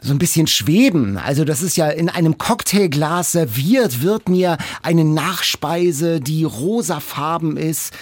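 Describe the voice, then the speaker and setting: A man with a fairly high, husky voice banters as a podcast co-host. He speaks with lively swings in pitch and a wry touch. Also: measured pace, few pauses, dry recording. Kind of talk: casual banter.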